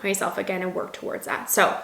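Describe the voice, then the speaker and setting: Candid woman vlogger, bright voice, echoey room. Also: mid-range voice, little pitch movement, a little fast, gravelly. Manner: candid and emotional, frequent "like" fillers. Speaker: lifestyle vlogger, business owner. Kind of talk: candid personal vlog, talking to camera.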